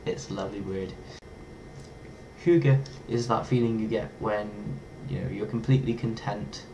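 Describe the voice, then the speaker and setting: A man's voice: fairly low pitch, normal speed, a little hiss or hum, poor audio. A young man with an English accent, talking playfully to his webcam in a small bedroom. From England. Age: young adult. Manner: playful, lively, toys with foreign words. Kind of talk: casual vlog talk to camera.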